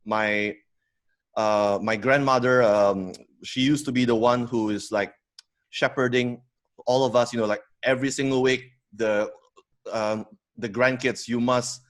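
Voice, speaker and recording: Halting man from Indonesia, full of fillers. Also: fairly low pitch. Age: 30s. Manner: hesitant, many fillers and restarts, laughs easily. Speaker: podcast guest, company co-founder. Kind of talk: casual interview storytelling.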